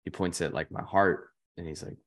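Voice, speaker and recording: A man's voice: deep voice, steady tone, normal speed. A young man in his twenties, a podcast guest telling stories in a loose, rambling way full of "like" fillers. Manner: rambling, heavy use of "like" fillers. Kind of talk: casual chat.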